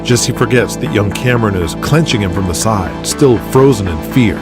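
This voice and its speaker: A man's voice: on the low side, expressive, moderate pace, loud music behind the voice. A man reading scripted dramatic narration for an audio drama, in the present tense.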